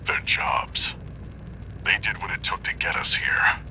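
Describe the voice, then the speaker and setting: An actor playing a stern older mercenary handler in a video game, speaking in a terse, grave, controlled way over missions. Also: male voice, low-pitched, lively intonation, measured pace, pushed and bright, a little hiss or hum, full character voice. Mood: grave, measured.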